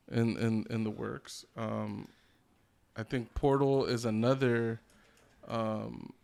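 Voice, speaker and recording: A man with a medium-pitched voice talks as a podcast co-host. He is casual and opinionated, and he swears freely as he chats. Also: gravelly, clear, resonant tone. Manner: opinionated, profane. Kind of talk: casual chat about games and films.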